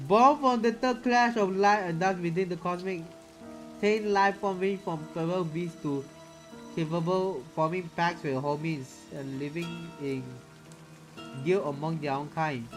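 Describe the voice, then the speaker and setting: A man with a slightly high voice reads a visual novel aloud. His delivery is theatrical and playful, slipping into casual streamer reactions. Music and rain sound behind him. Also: a little slow, rough recording.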